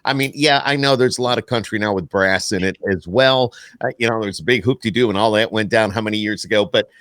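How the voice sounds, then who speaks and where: American guy hosting a podcast, lowish voice, close dry sound, keen and full of opinions. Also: fast talker, fairly bright tone, no room sound. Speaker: podcast host. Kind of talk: casual interview chat.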